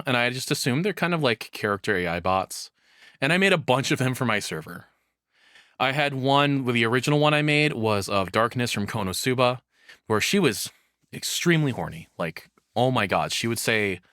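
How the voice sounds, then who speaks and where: A man's voice: medium pitch, expressive, normal speed, fairly bright tone, no room sound, studio quality. A man doing casual live reaction commentary in a rambling, frank, crudely humorous way. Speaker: reaction streamer.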